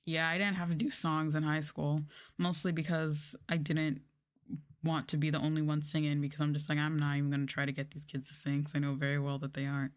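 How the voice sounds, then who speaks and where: A woman's voice: sits low, little pitch movement, fairly quick, clear, resonant tone. A sleepy woman chatting casually and informally as a livestreamer, with a drowsy manner and sighs. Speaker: livestreamer, VTuber. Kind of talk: sleepy casual chat on an ASMR livestream, reading and answering viewers.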